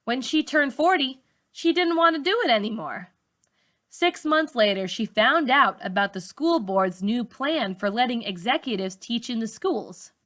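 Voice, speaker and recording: A woman reading a novel aloud as a teacher would, in an expressive storybook style for young students. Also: a little high. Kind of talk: expressive storybook narration for middle schoolers.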